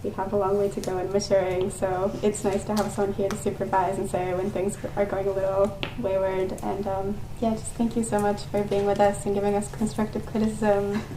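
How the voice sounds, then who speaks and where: A woman's voice: medium pitch, monotone, brisk, hardly any pauses. A young woman giving an informal thank-you speech in a noisy, echoey room. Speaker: student theatre member giving thanks.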